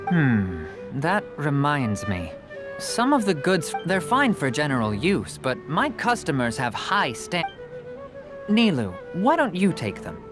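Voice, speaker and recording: A man's voice: on the high side, huge pitch swings, takes it slowly, full character voice. A man playing a wily, jovial, chatty merchant in a video game, with a theatrical, persuasive manner. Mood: reflective, wry. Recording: music behind the voice.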